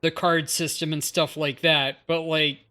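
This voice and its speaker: A livestreamer talks casually with viewers while playing a game. The voice is mid-pitched, and the manner is curious and inquisitive, like someone thinking out loud. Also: flat delivery, a little slow.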